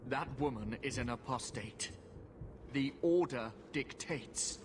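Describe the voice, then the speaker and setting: An actor playing a suspicious, rigid wounded holy knight in a fantasy game. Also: male voice, medium pitch, slow pace, noisy background, lightly acted. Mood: hostile, strained.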